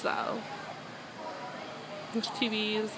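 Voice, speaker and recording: A woman's voice: mid-range voice, completely flat delivery, very slow, over loud music, rough recording. A young woman chatting casually as she walks through a theme park, filming on a handheld phone and rambling with lots of ums. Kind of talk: casual walking tour commentary. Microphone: handheld phone camera at arm's length.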